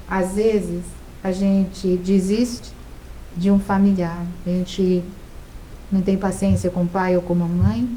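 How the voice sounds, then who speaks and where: A woman's voice: medium pitch, a little mellow, roomy sound, slight background noise, rough recording. A woman giving an informal, heartfelt talk to an audience, telling a story earnestly and often checking in with her listeners. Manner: earnest, emotional storytelling with frequent "né?". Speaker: speaker sharing volunteer experience.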